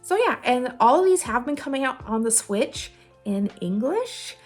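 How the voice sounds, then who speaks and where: A woman's voice: high voice, big pitch swings, normal speed. A woman hosting a casual recommendation vlog, talking in an informal, rambling, self-deprecating way with a giggly manner. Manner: giggly, laughs often while talking. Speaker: video host giving game recommendations. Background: music playing under the voice.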